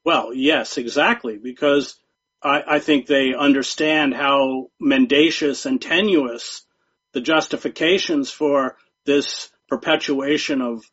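A man speaking as a guest journalist in an interview, talking in a measured, emphatic way and often repeating words. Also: medium pitch, fairly even intonation, fairly bright tone, slightly rough. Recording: dull lo-fi sound.

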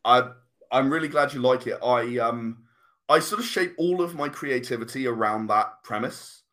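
Guy being interviewed, middle pitch voice, thoughtful, explains his creative stuff at length. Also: moderate pace, pushed and bright. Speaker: comic and game writer, interview guest.

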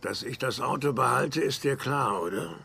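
An actor playing a callous, matter-of-fact criminal who threatens bluntly and coolly in dubbed TV series dialogue. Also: male voice, medium pitch, moderate pace, vocal fry, sharp tone, slight background noise. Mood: coolly menacing.

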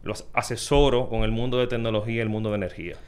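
A Dominican man with a Dominican Spanish accent, a guest on a live show, calmly explaining his company's products and often affirming "correct". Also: lowish voice, quick pace, clean tone. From Dominican Republic. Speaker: guest, regional manager at an electrical company. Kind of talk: casual interview talk.

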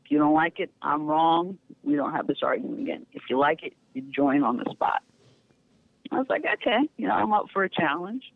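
A middle-aged woman, a podcast guest, telling candid stories in a frank, lively way with easy laughter and a neutral accent. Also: sits low, a little fast, vocal fry. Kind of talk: candid storytelling. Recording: tinny phone-line sound, dry.

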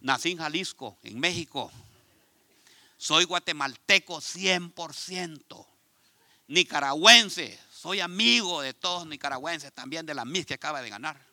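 Animated Ecuadorian pastor, bright voice. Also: male voice, on the high side, unhurried, dry recording. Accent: Ecuadorian Spanish. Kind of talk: sermon. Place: church.

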